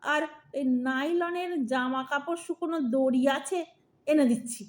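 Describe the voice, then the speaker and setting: Actress as elderly wife, very high pitch, exasperated, scolding, sarcastic, mock-sweet, comic audio drama. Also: female voice, moderate pace, natural voice. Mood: mock-sweet coaxing.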